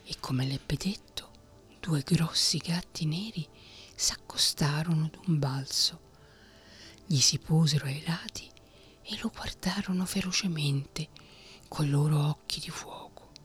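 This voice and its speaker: A woman whispering a fairy tale in a soft ASMR reading. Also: deep voice, slow, breathy edge, faint music behind. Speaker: storyteller.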